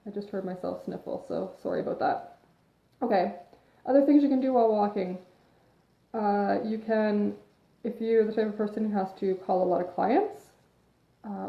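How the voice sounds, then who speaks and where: A woman's voice: medium pitch, vocal fry. A woman fitness coach chatting through a live video, rambling into tangents and a little sniffly from allergies. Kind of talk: casual chat, advice monologue. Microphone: phone or webcam livestream. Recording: echoey room, dull lo-fi sound.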